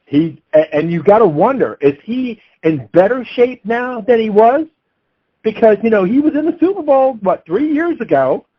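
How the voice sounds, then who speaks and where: A man's voice: high voice, big pitch swings, normal speed, slightly rough, highs cut off. A man talking through sports analysis and betting picks in an unscripted way, as an analyst.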